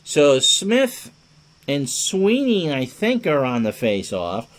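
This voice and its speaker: A man's voice: a little high, wildly swooping pitch, slow pace. A man doing chatty play-by-play hockey commentary, mixing clipped calls of the action with joking asides.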